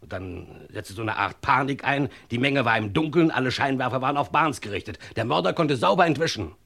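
Brisk, husky male scheming fixer. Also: mid-range voice, lively intonation, a bit bright. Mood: urgent recounting. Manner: persuasive, matter-of-fact, takes charge. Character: brisk, scheming male friend of a Hollywood film star. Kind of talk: German radio crime drama acting.

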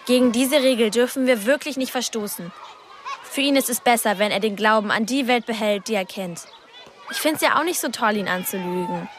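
A woman's voice: on the high side, fairly quick, a little hiss or hum, close to an everyday voice. An actress playing a chatty, friendly, slightly anxious twelve-year-old witch girl in a radio drama. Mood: relieved confiding.

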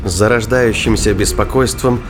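Low male narrator over music. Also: fairly even intonation, normal speed. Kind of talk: scripted dramatic fiction narration.

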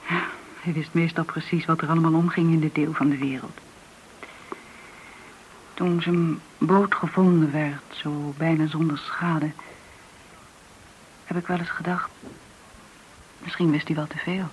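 A woman acting in a radio play as a businessman's confiding friend, tentative and at times soft-spoken. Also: deep voice, flat delivery, measured pace, pauses often. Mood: troubled. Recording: dull, noisy old radio recording.

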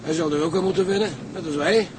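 A man's voice: a little high, fast, background noise, lightly acted. An actor playing a dry, good-natured seaman in a radio drama, wry in manner. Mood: concerned, wry. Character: dry, good-natured male seaman, weary of life at sea.

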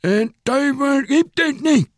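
A deaf-mute workman of about fifty in a radio play. The voice is extremely high and oddly contorted. He pushes out each over-articulated word very slowly, gurgling, in a dry studio. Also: lively intonation, no room sound, full character voice. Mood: eerie, emphatic. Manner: very slow, laboured syllables. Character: deaf-mute workman near fifty, good-natured, somewhat scruffy. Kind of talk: radio drama acting.

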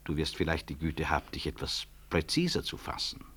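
An actor playing a proud, upright medical director, curt, precise and dryly ironic in a radio drama. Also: male voice, rumbling low, big pitch swings, fairly quick, a bit bright, husky, heavily acted. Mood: cool, polite. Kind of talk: 1960s German radio drama acting.

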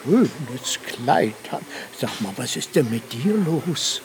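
A man's voice: mid-range voice, animated, slow, noisy background. An actor in a radio drama playing a nagging, blunt older man who grumbles and mocks. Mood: grumbling, exasperated. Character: grumbling, nagging old friend, mocking and blunt.

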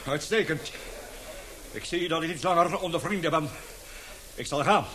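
An actor playing an older, indignant scientist professor in a radio play, vehement and lecturing, halting at times. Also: male voice, fairly high pitch, sharp tone, a little hiss or hum. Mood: indignant, defiant. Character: indignant, passionate scientist professor with a weak heart. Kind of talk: radio drama acting.

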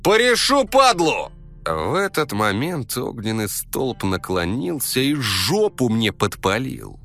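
A man's voice: fairly low pitch, very animated, slow, gravelly, a bit bright. A man narrating a comic first-person story in coarse, slangy street language.

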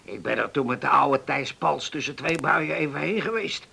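An actor playing a garrulous old island man in his seventies, reminiscing in a halting, folksy way in a radio play. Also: fairly high pitch, creaky, sharp tone, breathy edge, heavily acted. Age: 70s. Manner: folksy, halting, repeats himself, verbal tics. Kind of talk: radio drama acting.

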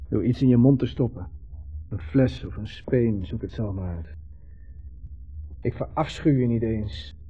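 A man's voice: medium pitch, unhurried, long pauses, husky, faint music behind. An actor playing a bitter, reclusive man, cold and scornful, in a 1970s radio drama. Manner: terse, scornful, theatrical. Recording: slightly dull old radio recording.

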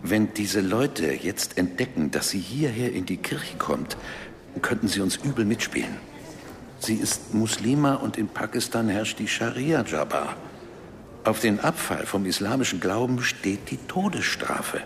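An actor playing a cautious, worried parish priest in a radio play, speaking gravely and in warning. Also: male voice, fairly low pitch, very animated, unhurried, slight background noise.

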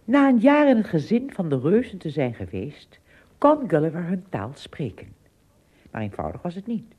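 A woman's voice: lowish voice, warm tone, husky. A woman narrating a radio play in a scripted storytelling style.

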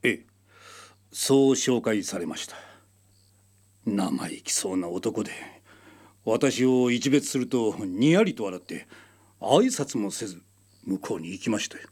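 A man's voice: lowish voice, big pitch swings, measured pace, pauses often, darkish tone, husky, heavily acted. An actor playing an earnest, easily astonished middle-aged father in a radio drama. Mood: earnest recounting. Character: excitable, bewildered middle-aged father telling what he witnessed.

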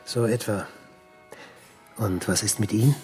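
An actor playing a hard-bitten German printer and former prisoner in a radio crime drama, laconic and dryly ironic. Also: male voice, lowish voice, fairly even intonation, measured pace, a little mellow, soft background music, natural voice. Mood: wry, laconic.

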